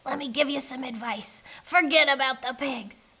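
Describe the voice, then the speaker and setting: A woman voicing a sly, smooth-talking wolf in a children's storybook, hushed, polite and confiding. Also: high voice, normal speed, very noisy, natural voice.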